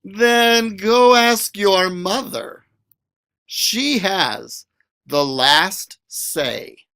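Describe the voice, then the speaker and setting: A man reads a children's story aloud in a bright, forward voice. His pitch moves in lively swoops and his pace is unhurried. He draws out words and poses each question expressively for young listeners. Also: clean tone. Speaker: school librarian reading a picture book. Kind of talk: animated read-aloud of a children's picture book.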